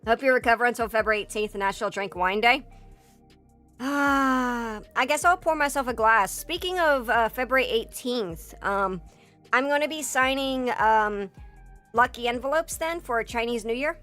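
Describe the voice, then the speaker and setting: A hoarse woman streamer in a casual livestream chat, rambling with lots of fillers and swearing. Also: a little high, normal speed, a bit bright. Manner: rambling, filler-heavy and profane, breaks into laughter. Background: faint background music.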